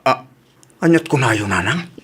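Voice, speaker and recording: A man's voice: medium pitch, lively intonation, moderate pace, fairly bright tone, breathy edge. Man acting a suspicious, grumbling Filipino husband. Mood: surprised, grumbling. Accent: Ilocano Filipino. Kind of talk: radio drama acting.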